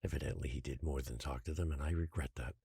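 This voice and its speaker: An actor playing a patient, controlling guardian who secretly rules an island, speaking in a measured, quietly menacing way in an audio drama. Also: male voice, a bass voice, fairly even intonation, creaky, slightly rough, no echo, exaggerated voice. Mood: grave, justifying.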